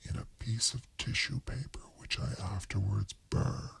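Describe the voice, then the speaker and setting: A meek, henpecked dead husband from a radio play, read by a man. He recites dutifully in a low whisper, creaky and full of breath. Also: moderate pace, a bit bright, exaggerated voice. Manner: dutiful, listing.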